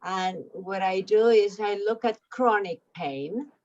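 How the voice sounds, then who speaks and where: A woman's voice: mid-range voice, slow pace, darkish tone. A woman from Argentina, a linguist, introducing her work carefully and searching for words. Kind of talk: video call introduction. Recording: dull, lo-fi audio.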